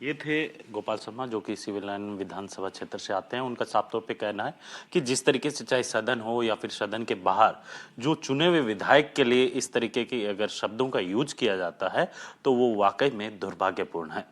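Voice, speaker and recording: Lower-pitched male field reporter. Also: moderate pace. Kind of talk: on-location news reporting.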